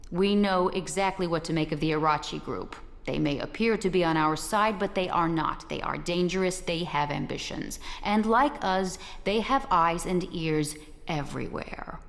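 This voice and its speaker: Female game interrogator: low, creaky, clipped and condescending, coldly deliberate, in a reverberant space. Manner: clipped, cold, deliberate statements. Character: cold, condescending female secret-society interrogator. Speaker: interrogator and evaluator for a secret organisation. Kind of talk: scripted video game dialogue. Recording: long reverberant hall echo.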